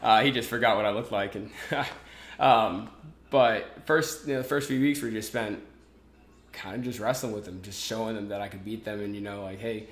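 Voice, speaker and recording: A man's voice: on the low side, moderate pace, roomy sound. A man in his twenties, a podcast guest talking casually, with lots of fillers and restarts. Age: 20s. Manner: relaxed, many fillers and restarts. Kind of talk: casual interview talk.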